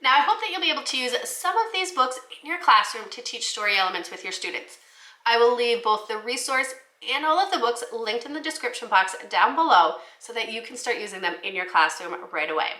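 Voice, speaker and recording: A woman teacher giving an instructional talk to fellow educators, explaining things clearly. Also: fairly high pitch, expressive, a little fast, pushed and bright. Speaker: teacher presenting children's books for the classroom. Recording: roomy, echoey indoor sound.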